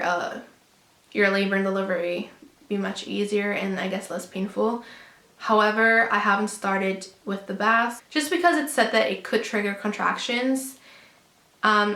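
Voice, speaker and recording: A young woman with a raspy voice from a lingering cold, chatting casually to camera in a pregnancy update, with lots of ums and restarts. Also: mid-range voice, a bit bright. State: hoarse, getting over a cold. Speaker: vlogger sharing a pregnancy update. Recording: echoey room.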